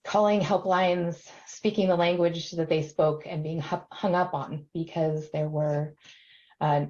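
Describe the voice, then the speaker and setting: A woman's voice: lowish voice, fairly even intonation, measured pace, warm tone. A woman who works in extension, speaking steadily in a documentary interview with frequent fillers. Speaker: extension professional. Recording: dull lo-fi recording.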